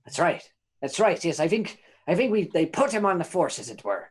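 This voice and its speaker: Guy doing a rambling locked-up informant, cheeky and chatty, kinda high voice. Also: sing-song, a little fast, breathy edge. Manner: rambling, stammering, old-timey folksy phrasing.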